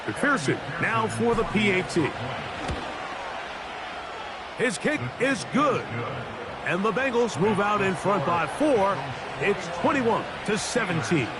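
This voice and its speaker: A man's voice: high-pitched, big pitch swings, slow pace, pauses often. A man calling football play-by-play in punchy, clipped announcer phrases. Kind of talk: sports game commentary. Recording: loud crowd and stadium noise. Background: roaring crowd, game ambience.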